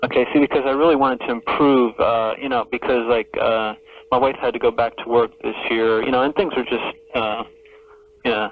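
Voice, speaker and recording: A man calling a radio show, talking casually in a hesitant, halting way. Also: medium pitch. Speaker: caller to a radio advice show. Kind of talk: casual phone conversation. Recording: narrow phone line with faint noise.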